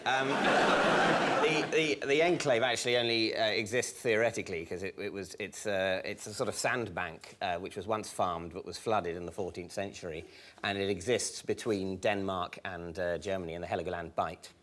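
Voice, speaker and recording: Male panelist, low voice, fluent mock-expert rambler with restarts. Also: steady tone, moderate pace, fairly bright tone. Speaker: comedy panelist. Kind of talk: panel show banter.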